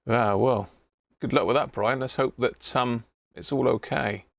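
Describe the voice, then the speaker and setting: A man's voice: medium pitch, unhurried, creaky, no echo. A British man hosting a livestream, chatting to viewers in an informal, matey, casual way. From United Kingdom. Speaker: livestream host. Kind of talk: casual live chat to viewers.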